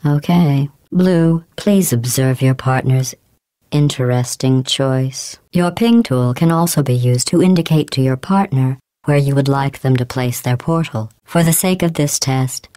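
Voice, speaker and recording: Cold, smooth, low-toned AI. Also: female voice, unhurried, dry recording, studio quality. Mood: deadpan. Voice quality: smooth, deadpan, condescending. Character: cold sarcastic female AI running test facility. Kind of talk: video game voice clips.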